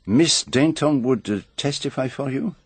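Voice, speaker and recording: An actor playing a shrewd, vain and courteous foreign detective in a radio mystery, speaking formally and deliberately with pointed questions. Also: male voice, medium pitch, slightly rough, dry recording. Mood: sceptical. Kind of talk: British radio mystery drama acting.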